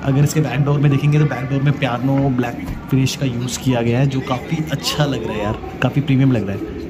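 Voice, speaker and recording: A man's voice: mid-range voice, fairly even intonation, quick pace, a crowd in the background. A man giving a casual walkaround car review at a busy indoor auto show, chatty, mixing English words into Hindi. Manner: chatty, mixes English words into Hindi, frequent "guys" and "yaar".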